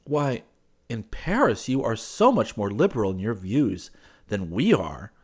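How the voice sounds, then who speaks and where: A man voicing an eager, polite, naive young gentleman abroad, earnest and courteous, in an audiobook story. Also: fairly low pitch, sing-song, a little slow, pitched above the performer's own voice. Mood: gentle, curious. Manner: courteous, earnest, stammering when flustered.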